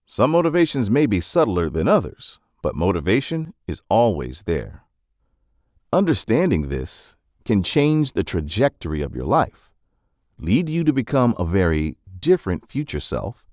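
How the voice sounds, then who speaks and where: A man's voice: lowish voice, wildly swooping pitch, unhurried, darkish tone, dry recording, clean studio sound. A man reading a scripted non-fiction book summary as narrator, with polished, emphatic phrasing.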